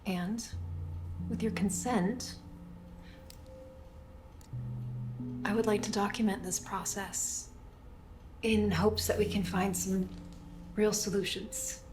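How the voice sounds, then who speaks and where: Slow, measured, soothing woman therapist played by an actress. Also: medium pitch, steady tone, close to an everyday voice. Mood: hushed, reassuring. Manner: measured, deliberate, reassuring. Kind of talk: audio horror drama acting. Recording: faint music behind the voice.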